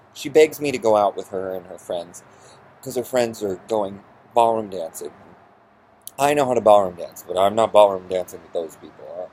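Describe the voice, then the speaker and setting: Male, lowish pitch, husky, sarcastic, exasperated, ranting vlog storytelling. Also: a little mellow, low-quality recording. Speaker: vlogger.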